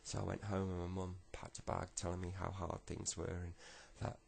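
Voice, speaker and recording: A man voicing a cold, lost young boy in a quiet, hesitant, plain way. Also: sits low, completely flat delivery, a little fast, vocal fry. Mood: subdued.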